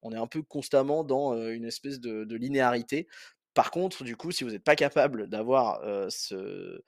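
A man's voice: mid-range voice, moderate pace, creaky, dry recording, clean studio sound. A young man hosting a podcast, reviewing a film in a casual, rambling way with many fillers and restarts. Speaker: podcast host.

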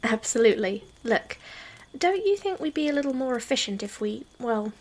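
A woman playing a brave, affectionate halfling adventurer in an audio drama, speaking in a soft, earnest way. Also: on the high side, big pitch swings, normal speed. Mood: hesitant.

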